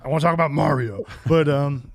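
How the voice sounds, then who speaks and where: A man's voice: fairly high pitch, a little fast, gravelly, no room sound, studio quality. A man co-hosting a podcast, chatting casually and enthusiastically in loose slang about movies. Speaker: podcast co-host. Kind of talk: casual banter about video game movies.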